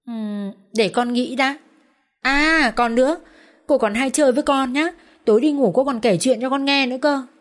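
A woman's voice: high voice, normal speed, pitched above the performer's own voice, natural voice. A woman voicing a small, cheerful, clingy girl who speaks in a coaxing, pleading way. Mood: thinking. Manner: coaxing, pleading child speech.

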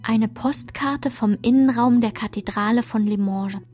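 A woman performing a radio play's literary narration as someone looking back on her girlhood, in a measured, descriptive way. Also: a little high, darkish tone, soft background music, natural voice. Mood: reflective, measured. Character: reflective woman recalling her girlhood through old photographs.

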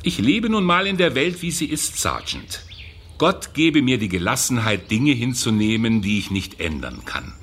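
An actor playing a cynical, callous youth prison director in a German radio drama, condescending and bureaucratic. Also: male voice, mid-range voice, sing-song, normal speed, fairly bright tone, a little hiss or hum. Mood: cold, defensive.

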